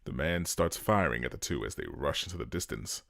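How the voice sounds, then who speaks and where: A man's voice: extremely low pitch, expressive, a little fast, gravelly, fairly bright tone, no echo, studio quality. A man narrating a dramatic scripted story.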